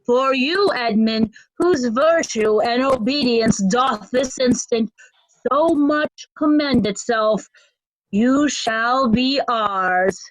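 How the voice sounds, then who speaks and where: A woman playing a stern, commanding duke in Shakespearean reader's theatre, measured and imperious. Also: fairly high pitch, no room sound, low-quality recording. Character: Cornwall, commanding stern duke handing out orders.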